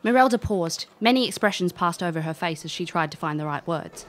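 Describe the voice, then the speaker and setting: Actress as a cheeky elf woman telling her adventures, lower voice, informal, audio drama. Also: expressive, a little fast, natural voice. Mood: storytelling. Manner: informal, brash. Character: cheeky, breezy female elf adventurer recalling her youth. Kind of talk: audio drama narration and dialogue.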